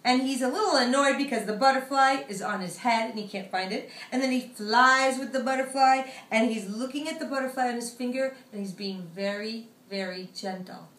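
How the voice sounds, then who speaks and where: A woman, an author reading her picture book aloud in an animated storytelling style. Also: fairly high pitch, brisk, hardly any pauses. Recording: echoey room, distant microphone.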